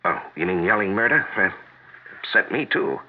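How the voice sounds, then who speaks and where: An actor playing a calm, mildly wry husband in a 1970s radio drama. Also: male voice, sits low, moderate pace. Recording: muffled old radio, noisy.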